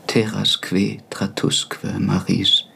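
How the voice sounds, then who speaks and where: A man acting a devoted, gentle attendant in a radio play, speaking in a hushed, careful, measured way. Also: on the low side, completely flat delivery, vocal fry, slight background noise, exaggerated voice. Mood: grave, gentle. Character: devoted male attendant at a deathbed, gentle, grave.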